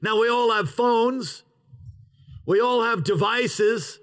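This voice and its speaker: A pastor preaching an outdoor sermon in a parking lot, with an opening prayer and emphatic, drawn-out pauses. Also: male voice, very high-pitched, fairly bright tone.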